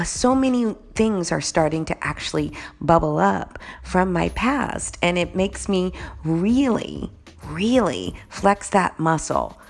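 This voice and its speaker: A woman's voice: low-pitched, creaky. A woman hosting her own podcast with a slightly groggy voice, chatting casually and often checking in with "right?". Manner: warm, conversational, says "right?" and "y'all" a lot. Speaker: podcast host. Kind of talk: casual solo podcast talk.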